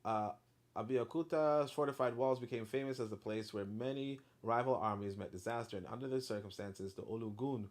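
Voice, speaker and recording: A man reading a history book aloud, then breaking off into animated, blunt commentary with profanity and lots of fillers. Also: mid-range voice, fairly quick.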